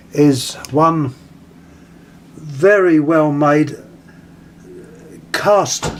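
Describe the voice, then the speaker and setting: A British man giving a casual hands-on demonstration, speaking haltingly and pausing mid-phrase as he handles things. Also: a little high, slight background noise. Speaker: vintage radio collector presenting an item. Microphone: handheld camera, close to the item.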